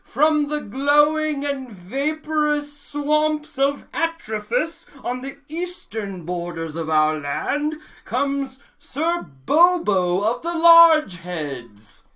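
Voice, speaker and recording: A woman playing a pompous royal tournament herald in a comic radio play, making deadpan ceremonial proclamations. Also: high-pitched, slow, hardly any pauses, heavily acted.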